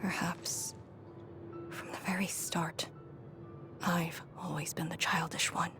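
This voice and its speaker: A solemn young warrior woman in a video game. She speaks slowly and reflectively, often sinking into a breathy hush, with a wry edge. Loud music plays behind her. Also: fairly low pitch, flat delivery, whispered. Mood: somber, reflective.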